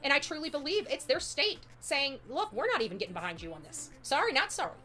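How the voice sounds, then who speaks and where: Female live commentary host: sharp, slightly high voice, emphatic and rambling casual delivery, opinionated. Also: very animated, quick pace, soft background music. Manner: blunt, emphatic, rambling, chatty. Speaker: livestream host.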